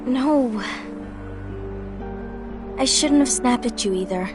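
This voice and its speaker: An actress playing an earnest, protective young masked leader in a video game, with an emotional delivery. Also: female voice, high-pitched, takes it slowly. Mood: troubled, subdued. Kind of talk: dramatic video game voice acting. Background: music.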